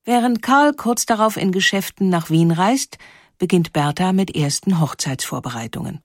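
A woman narrating a scripted historical documentary in a measured, clearly phrased storytelling manner. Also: fairly low pitch, lively intonation, dry recording, studio quality. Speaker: narrator of a historical audio feature.

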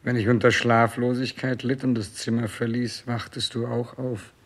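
A man's voice: medium pitch, little pitch movement, normal speed, lightly acted. An actor playing a self-absorbed dying king in a 1960s German radio play, wavering theatrically between commands and murmured reverie. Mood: nostalgic, sad.

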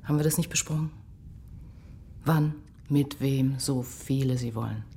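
Low-voiced brisk woman doctor. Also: warm tone, slight background noise. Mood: calm, reassuring. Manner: clinical, routine reassurance. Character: brisk, reassuring female doctor, authoritative. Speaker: gynaecological surgeon. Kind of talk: radio drama acting.

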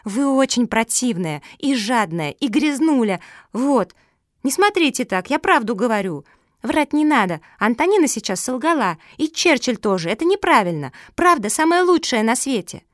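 A woman's voice: fairly high pitch, big pitch swings, normal speed, no echo, studio quality, voice pushed up. A woman voicing a tiny, outspoken little girl creature in a storybook, chattering in a blurting, childlike way. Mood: indignant.

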